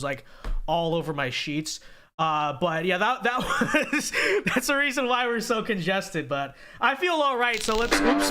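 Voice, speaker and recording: High, bright-voiced congested young male host. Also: a little hiss or hum. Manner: animated, talkative, frequent stammered restarts. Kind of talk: live-stream political commentary.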